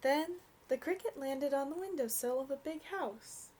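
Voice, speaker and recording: A young adult woman reading a storybook aloud for children in an expressive, gentle way. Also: high voice, normal speed, a little hiss or hum.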